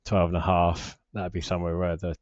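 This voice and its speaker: Low-voiced British man, rambling casually. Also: monotone, a little fast, a little mellow, dry recording, muffled recording. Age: forties. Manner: rambling, hesitant, self-deprecating. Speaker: personal finance vlogger. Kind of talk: casual unscripted screen-share commentary.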